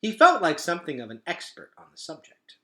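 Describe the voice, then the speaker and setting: A man reading a storybook aloud as an expressive, dramatic narrator. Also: high-pitched, brisk. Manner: animated, dramatic. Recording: echoey room.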